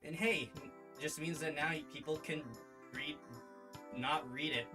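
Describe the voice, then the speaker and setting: A man's voice: on the high side, steady tone. Hesitant man chatting on a livestream, music behind. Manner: hesitant, self-deprecating, halting. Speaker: streamer hosting a live reading of their own series. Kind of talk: casual livestream chat and read-along.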